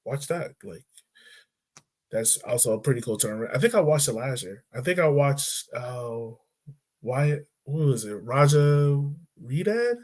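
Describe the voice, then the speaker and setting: A man's voice: mid-range voice, unhurried. Laid-back man hosting a soccer podcast. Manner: relaxed, loose, lots of fillers like "um" and "like". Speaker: podcast host. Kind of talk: casual soccer podcast chat.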